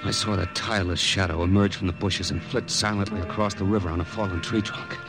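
An actor playing a tense, weary American military captain who narrates haltingly and dramatically in an old radio drama. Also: male voice, sits low, fairly even intonation. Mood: tense, haunted. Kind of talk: 1950s radio drama acting, first-person narration. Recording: old radio recording with music behind.

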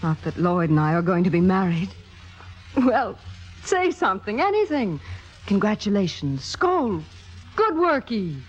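A woman's voice: mid-range voice, big pitch swings, a little slow. A woman playing a scheming, ambitious young actress in a vintage radio drama, with theatrical, emotionally intense delivery. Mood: elated. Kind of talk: 1950s radio drama acting. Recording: dull vintage radio recording.

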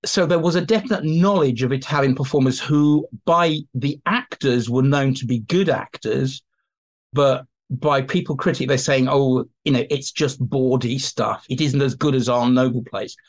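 A man's voice: mid-range voice, normal speed. A man speaking as an expert guest, explaining theatre history in an informal, anecdotal way. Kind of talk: conversational explanation in a history interview.

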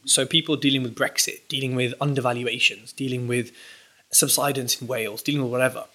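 Bright-voiced young man, animated chat. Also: medium pitch, a little fast. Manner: animated, rambling with restarts. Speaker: podcast guest, property entrepreneur. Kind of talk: casual interview chat.

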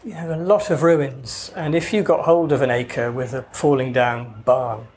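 A man's voice: medium pitch, steady tone, moderate pace, darkish tone, slight background noise. A man from Wales with no Welsh accent, an author talking casually in an interview, wry and digressive with hesitant restarts. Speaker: author guest.

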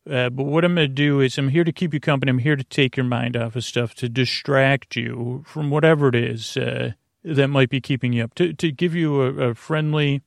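Male sleep-podcast host: gravelly, creaky, mid-pitched, halting and digressive, lulling bedtime delivery. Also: no echo, clean studio sound.

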